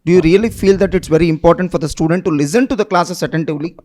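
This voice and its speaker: A man interviewing a former student, asking deliberate questions and giving encouraging remarks as a coaching teacher. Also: on the high side, darkish tone.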